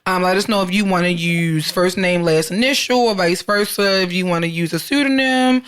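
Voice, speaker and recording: A woman's voice: on the low side, normal speed, smooth. A woman co-hosting a podcast, reading out the show's contact details with sassy, profane asides. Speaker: podcast co-host. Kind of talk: casual chat, reading show contact details.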